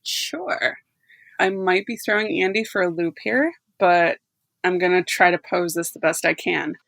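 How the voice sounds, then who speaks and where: A woman in a casual chat with colleagues, posing a question carefully and deliberately. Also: on the low side, steady tone, gravelly, a bit bright, no room sound.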